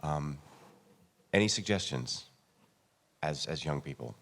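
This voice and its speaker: A man's voice: very deep voice, animated, unhurried, vocal fry, fairly bright tone. A man asking an unscripted question from the audience, hesitating and repeating words as he goes. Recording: echoey hall with long reverb.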